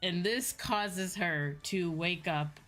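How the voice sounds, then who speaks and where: Low-pitched Midwestern American woman, chatting. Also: slow pace, a bit bright. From Midwestern United States. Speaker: streamer and VTuber host. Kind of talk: casual chatty livestream storytelling. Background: background music.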